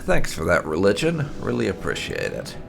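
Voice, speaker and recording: Male gaming host, gravelly and unhurried, casual intro commentary with faint music behind. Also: deep voice, wildly swooping pitch.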